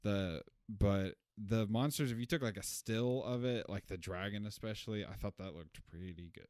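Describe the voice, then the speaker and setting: A man's voice: on the low side, normal speed, darkish tone, smooth, no room sound, studio quality. A man chatting casually as he reviews a movie as a podcast host.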